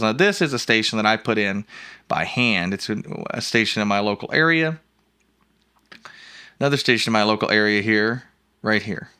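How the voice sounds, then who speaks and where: A man hosting an informal, jokey, rambling software walkthrough in a casual screencast style. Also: fairly low pitch. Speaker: host of a software review show.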